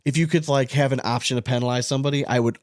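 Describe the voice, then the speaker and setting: A man's voice: mid-range voice, steady tone, rapid-fire, dry recording, studio quality. An American man co-hosting a podcast, telling stories in informal, bantering chat. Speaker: podcast co-host. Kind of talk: casual chat.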